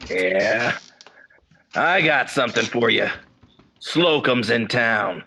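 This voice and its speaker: Brusque radio-drama detective chief, gravelly, sharp, slow. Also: male voice, mid-range voice, expressive, slight background noise, full character voice. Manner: brusque, gives orders. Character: gruff male chief of detectives, the boss. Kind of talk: 1940s radio drama acting.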